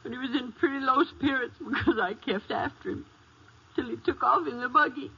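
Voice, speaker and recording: A woman's voice: high-pitched, brisk, gravelly, bright, forceful tone, breathy edge, exaggerated voice. A woman playing a distraught, tearful, guilt-ridden country daughter in a 1950s radio western. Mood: defensive, upset. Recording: muffled 1950s radio recording.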